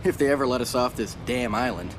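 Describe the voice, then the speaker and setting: An actor playing a weary young US Navy lieutenant who talks plainly and barks orders in battle. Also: male voice, medium pitch, fast talker, natural voice. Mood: wry. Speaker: game character. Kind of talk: video game cutscene acting. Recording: loud engine and sea noise.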